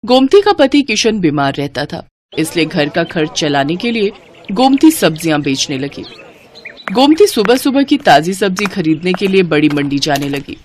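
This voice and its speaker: A woman's voice: fairly low pitch, sing-song, moderate pace. A woman narrating a scripted moral story as the storyteller.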